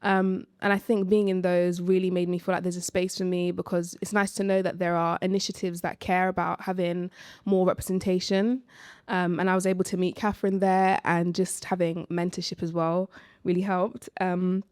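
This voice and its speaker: A young woman speaking informally and reflectively as a panellist, pausing hesitantly as she shares her experience. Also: on the low side, level tone, a little mellow, clean tone, no echo, clean studio sound. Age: young adult. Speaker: panellist, early-career researcher. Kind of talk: live panel discussion, personal account.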